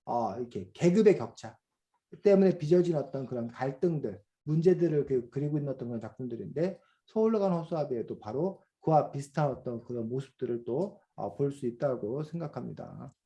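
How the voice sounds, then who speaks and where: Middle-aged male teacher, slightly high but mellow, explanatory and patient, many fillers, video-call sound. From South Korea. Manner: explanatory, many fillers, asks students questions. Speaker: reading teacher. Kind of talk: online class lecture to children.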